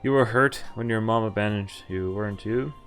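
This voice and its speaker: Man, low pitch, game streamer, casual commentary, reading text aloud, wry offhand asides, faint game music. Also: fairly quick, a bit bright. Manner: wry offhand asides between read lines. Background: visual novel music.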